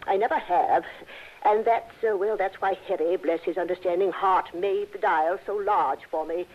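An actress playing a genteel, trusting and easily flattered older wealthy widow in a 1960s radio drama, speaking in a fluttery, hesitant way. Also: female voice, lowish voice, normal speed, close to an everyday voice. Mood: flattered, coy. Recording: dull, lo-fi old radio recording.

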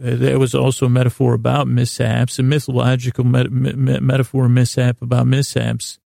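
A man hosting a sleep podcast, telling stories in a casual, meandering way with a gravelly voice, often halting and trailing off mid-thought. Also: mid-range voice, monotone, dark tone, dry recording, studio quality. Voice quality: gravelly, creaky voice. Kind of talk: rambling casual bedtime storytelling monologue.

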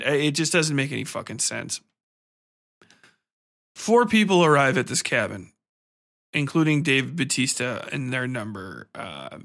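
Male podcast host, bright forceful voice, irritated and profane, casual review rant. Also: fairly high pitch. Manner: blunt, irritated, swears constantly.

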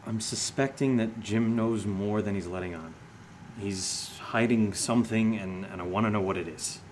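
An actor playing a sardonic, shaken man who jokes through fear, speaking haltingly in a naturalistic horror audio drama. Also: on the low side, a little mellow, slight background noise, natural voice. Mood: earnest, determined. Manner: hesitant, halting, sarcastic.

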